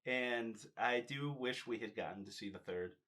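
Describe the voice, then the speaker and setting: A man's voice: mid-range voice, normal speed, a bit bright. A man chatting casually about films and comics, explaining things in a loose, step-by-step storytelling way.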